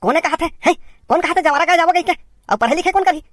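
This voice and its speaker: A woman's voice: very high voice, lively intonation, very fast, dry recording, studio quality. A woman voicing a strict, nagging village parent in a comic cartoon, with a Chhattisgarh accent and an exasperated, questioning delivery. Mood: scolding.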